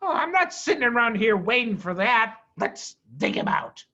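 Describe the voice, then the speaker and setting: A man's voice: very high-pitched, very animated, moderate pace, bright, forceful tone, dull audio, pitched above the performer's own voice, full character voice. A man voicing a nasty, stubborn, impatient farmer in a children's storybook reading. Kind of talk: children's storybook read aloud.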